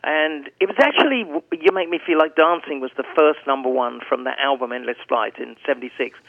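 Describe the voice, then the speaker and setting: A man's voice: medium pitch, brisk, vocal fry, no room sound. A man in his seventies, a singer chatting as an interview guest, rambling through anecdotes with restarts. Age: 70s. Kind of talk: casual interview chat. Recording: phone-line audio.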